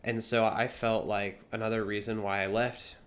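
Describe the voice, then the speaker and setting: A man's voice: lowish voice, flat delivery, normal speed, a bit bright. A twenty-year-old man vlogging in a casual, earnest, somewhat rambling way, as a young entrepreneur talking to camera. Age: 20 years old.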